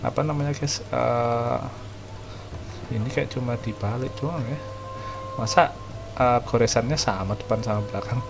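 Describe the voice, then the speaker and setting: A man's voice: medium pitch, normal speed, creaky, pauses often. A man with an Indonesian accent giving casual, slangy commentary as a gaming streamer. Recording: game music behind voice. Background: video game music.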